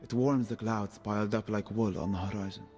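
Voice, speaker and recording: Actor as a deep, understated shepherd guide. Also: male voice, fairly even intonation, brisk, a little mellow, big-room sound, natural voice. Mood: reflective, poetic. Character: weathered male shepherd guide, wry, quietly poetic. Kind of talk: video game voice acting. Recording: faint music behind the voice.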